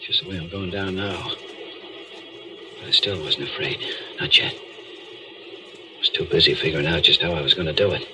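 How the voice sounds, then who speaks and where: Actor as deep-voiced, brooding murderous diver. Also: male voice, natural voice. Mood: brooding confession. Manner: brooding, deliberate, confessional. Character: guilt-haunted murderous deep-sea diver recounting his crime. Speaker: lead actor and narrator. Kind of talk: old-time radio thriller acting. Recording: vintage radio recording with music.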